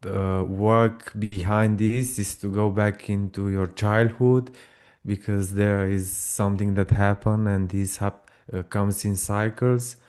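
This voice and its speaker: A man with a Romanian accent telling his personal story as an interview guest, in halting non-native phrasing. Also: lowish voice, steady tone, darkish tone, clear, resonant tone. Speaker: guest, breathwork practitioner.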